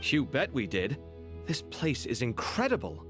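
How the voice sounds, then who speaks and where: Upbeat, expressive young companion. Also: male voice, mid-range voice, a little slow, music in the background. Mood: eager. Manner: upbeat, earnest, informal. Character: friendly, expressive young man, eager and sociable. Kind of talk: video game character acting.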